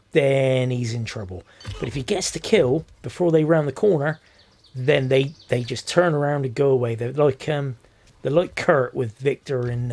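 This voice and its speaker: A hoarse man, commentating a competitive video game match with excited, swearing energy. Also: medium pitch. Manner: energetic, swears freely, laughs often. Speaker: esports shoutcaster.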